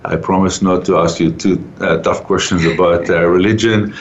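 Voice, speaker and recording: A man hosting a conversational radio interview in a halting, informal way. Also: low-pitched, fairly even intonation, lo-fi sound, slight background noise. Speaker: talk show host.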